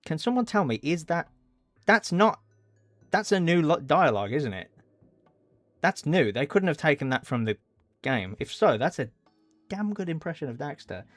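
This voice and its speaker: A man's voice: on the high side, very animated, normal speed, pauses often, no echo. A man livestreaming a video game, chatting casually in an apologetic, muttering, sweary way. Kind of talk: casual live stream chat. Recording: music behind the voice. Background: game music, keyboard typing.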